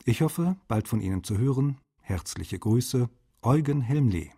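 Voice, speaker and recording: A courteous translator, mellow husky voice. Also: male voice, medium pitch, animated, measured pace. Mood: courteous, earnest. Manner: polite, formal. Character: courteous male literary translator writing to an author. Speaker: voice actor reading letters. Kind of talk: dramatised letter reading in a radio feature.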